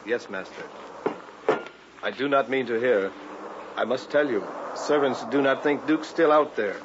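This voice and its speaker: An actor playing a humble, superstitious servant who speaks halting broken English in an old-time radio play. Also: male voice, mid-range voice, natural voice. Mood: fearful, deferential. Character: timid, superstitious male servant, humbly deferential. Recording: dull, noisy vintage radio recording.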